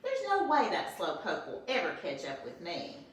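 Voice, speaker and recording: Woman reading aloud as a smug storybook hare; boastful and lively, lower voice, room echo, distant mic. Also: moderate pace. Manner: bragging, confident. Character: boastful young male hare, cocky racer.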